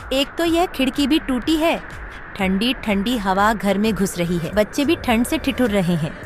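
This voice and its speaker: A woman's voice: a little high, close to an everyday voice. Woman acting a fretful, poor village wife. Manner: plaintive, fretful. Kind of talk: Hindi animated moral story acting.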